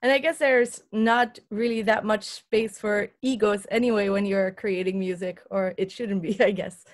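A young woman from Belgium interviewing a musician over a video call, friendly and quick to laugh. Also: mid-range voice, fairly even intonation. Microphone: laptop webcam on video call.

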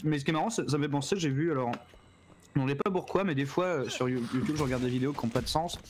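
A man's voice: fairly high pitch, racing. A man from Poitiers, a guest on a stream, telling rambling anecdotes full of fillers. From Poitiers, France. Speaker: co-host guest. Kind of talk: casual chat. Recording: loud background noise.

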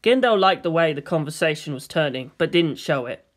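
A woman's voice: low-pitched, expressive, fairly quick. Woman narrating a story. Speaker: audiobook narrator.

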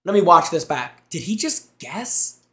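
A man's voice: a little high, very animated, measured pace, reverberant, very noisy. A young man streaming on a headset mic in a small room, reacting to gameplay clips with teasing jokes and thanking his chat. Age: young adult. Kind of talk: casual live-stream commentary.

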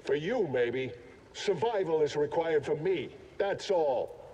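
A cynical mercenary with a fairly high, mellow voice that moves around a lot. He grumbles and snipes in video game dialogue, with long reverb. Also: animated, takes it slowly. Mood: wary. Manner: colloquial, sardonic, grumbling. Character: grumbling, cynical mercenary raider, self-interested survivor. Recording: reverberant game capture, faint noise.

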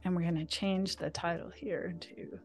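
A woman's voice: lowish voice, fairly even intonation, normal speed, soft background music. A woman talking on a video call, walking through a design tool in a live tutorial with a chatty, eager manner. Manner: chatty, enthusiastic. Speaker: guest designer demonstrating software. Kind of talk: live screen-share tutorial.

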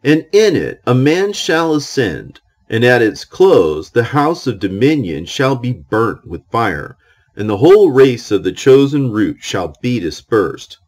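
A man reading ancient scripture aloud in a solemn, declamatory way, with measured phrasing and deliberate pauses. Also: medium pitch, very animated, darkish tone. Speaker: audiobook reader of scripture.

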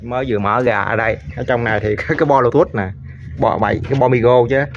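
A man's voice: mid-range voice, steady tone, quick pace, lo-fi sound, slight background noise. A man with a Southern Vietnamese accent chatting casually on site as a repairman, filming on a handheld phone. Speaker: repairman vlogger. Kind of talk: casual on-site chat while checking equipment. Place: tin-walled home garage. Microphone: handheld phone camera. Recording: music playing behind, handheld on-site.

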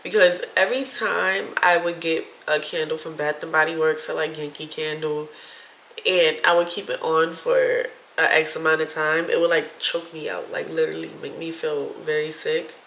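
A woman's voice: sits low, level tone, moderate pace, vocal fry, fairly bright tone, reverberant, slight background noise, low-quality recording. A woman of about thirty with a stuffy nose, chatting casually to viewers about a shopping haul in her apartment. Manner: chatty, addresses viewers as y'all. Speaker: vlogger.

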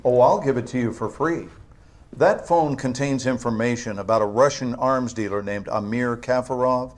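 A man's voice: medium pitch, moderate pace, lightly acted. Man acting controlled, probing government interrogator. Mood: coolly pressing. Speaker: video game voice actor. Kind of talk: cinematic game drama acting.

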